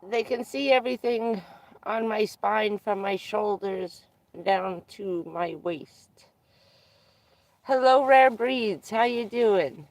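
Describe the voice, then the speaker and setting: Hoarse congested streamer, unhurried drawn-out talk, keeps clearing throat, casual live chat into a phone mic. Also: medium pitch, dry recording, low-quality recording. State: congested and losing voice. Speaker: livestreamer.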